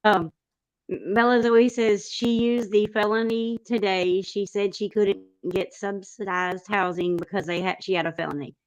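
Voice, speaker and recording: A woman's voice: medium pitch, fairly even intonation, normal speed, rough recording. A woman chatting casually as a panel host who reads out and answers viewer comments. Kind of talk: casual chat.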